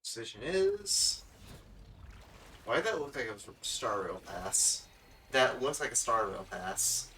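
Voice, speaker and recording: A man's voice: fairly low pitch, animated, measured pace, bright, forceful tone, recorded from a distance, a little hiss or hum. A man giving casual, informal live stream commentary as he reacts to a game.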